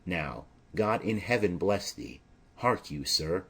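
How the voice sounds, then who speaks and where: Man reading as a fussy low-voiced old nurse. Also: expressive, takes it slowly, fairly bright tone, husky, heavily acted. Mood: chatty, fussy. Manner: rambling, exclaiming, flustered and indignant. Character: fussy, chattering older female nurse servant.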